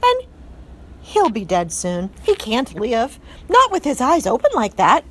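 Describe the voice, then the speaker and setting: A woman's voice: a little high, huge pitch swings, unhurried, voice pushed up, heavily acted. Woman reading as a father mouse, weary, matter-of-fact, storybook.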